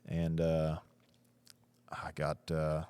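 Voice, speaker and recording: A man's voice: very deep voice, monotone, drawn-out words, mellow, smooth, no room sound. A young man, a race car driver, answering interview questions in a laid-back, hesitant way with many fillers.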